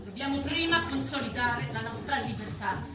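A woman's voice: fairly high pitch, animated, moderate pace, a loud crowd, natural voice. A woman playing a commanding, persuasive female farm animal in a theatrical radio play, speaking with emphatic oratory. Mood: persuasive, solemn. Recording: roomy sound with loud music.

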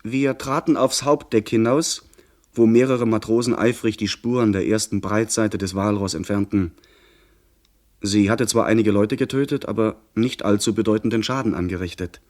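An actor playing a young gentleman who narrates his sea adventure in a literary radio play style. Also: lowish voice, normal speed, lightly acted. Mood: narrating.

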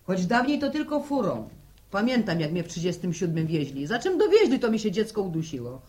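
A woman's voice: mid-range voice, big pitch swings, a little fast, mellow, lightly acted. An actress playing a chatty, gossipy older village woman in a radio drama, folksy and full of repetitions. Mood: wistful, complaining. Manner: voluble, folksy, hesitating and repeating.

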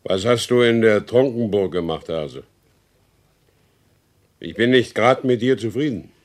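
A man's voice: lowish voice, animated, measured pace. An actor playing a proud, wronged horse dealer in a German radio drama, speaking formally and emphatically as he insists on his rights. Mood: stern questioning.

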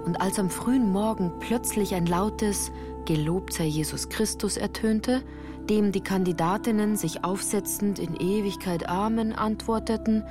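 A woman reading scripted literary first-person narration for a radio play, composed and carefully articulated. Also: mid-range voice. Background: music.

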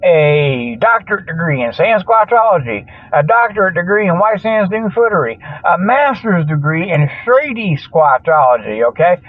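A man's voice: high voice, animated, moderate pace, hardly any pauses, no echo. Folksy, rambling man on a phone-like line. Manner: folksy, colloquial, jokey babbling with frequent chuckles. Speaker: host of a comedic online radio show. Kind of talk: rambling, joking solo monologue. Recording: thin phone-like radio sound.

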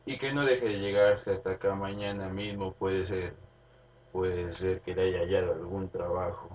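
A man with an Argentine accent voicing a gruff, soft-hearted rural police commissioner who gives orders haltingly in a spoken story. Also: low voice, fairly even intonation, a little hiss or hum, voice pushed down, close to an everyday voice. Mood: gruff, relenting. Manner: commanding, halting, repeats himself. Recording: dull recording, distant, under music.

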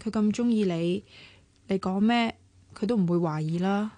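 A woman's voice: medium pitch, normal speed, warm tone, no echo, close to an everyday voice. An actress with a Hong Kong accent playing an earnest, worried young woman in a radio drama, with a pleading way of talking. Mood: pleading, wistful. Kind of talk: Cantonese radio drama acting.

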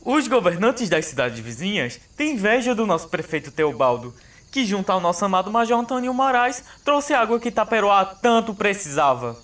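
A man's voice: high voice, very animated, moderate pace, a bit bright, far from the mic. A man playing a fawning town crier in a radio drama, calling out proclamations. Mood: proclaiming. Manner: declamatory, calling out. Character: loud, fawning town crier serving the mayor.

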